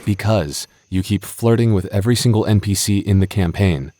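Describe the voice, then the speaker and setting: A man's voice: fairly low pitch, normal speed, mellow, slight background noise, lightly acted. A man acting an exasperated young male game master and childhood friend in an audio roleplay, with a hesitant manner. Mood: frustrated, confronting.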